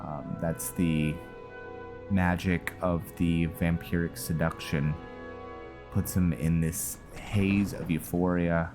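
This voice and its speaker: A man's voice: low voice, little pitch movement, slow pace. A man giving casual let's play commentary, laid-back and musing, often talking to himself as he plays. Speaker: gamer and role-play series host. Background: game music.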